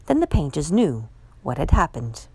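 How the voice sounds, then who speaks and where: A woman reading a children's picture book aloud with measured, emphatic storyteller phrasing. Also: low-pitched, sing-song, dark tone, husky, dry recording, low-quality recording. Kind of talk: expressive children's read-aloud narration.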